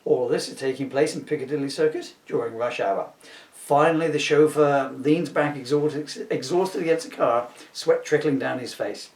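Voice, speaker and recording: A man's voice: mid-range voice, normal speed, a little mellow. A man giving a comic scripted reading with wry, self-mocking asides. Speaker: author reading his own script. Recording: roomy echo, distant mic.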